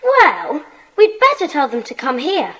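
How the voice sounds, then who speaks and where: Keen, high-voiced little schoolgirl. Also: wildly swooping pitch, a little slow, fairly bright tone, exaggerated voice. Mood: keen, cheerful. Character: keen, helpful young schoolgirl in a secret club. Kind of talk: children's audiobook dramatisation.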